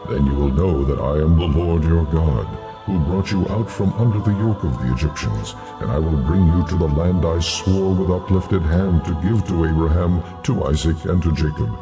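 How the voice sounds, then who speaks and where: A very deep, solemn divine male voice, declaring and commanding with stately authority in a scripture audio drama. Music plays clearly behind the words. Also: normal speed, few pauses. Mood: solemn promise.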